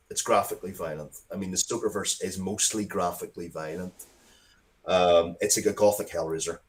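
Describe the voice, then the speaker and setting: Guy with a low voice, casual interview chat, kinda rambly, keeps restarting what he's saying. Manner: rambling, self-interrupting, restarts sentences often. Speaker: interview guest, comics and game writer.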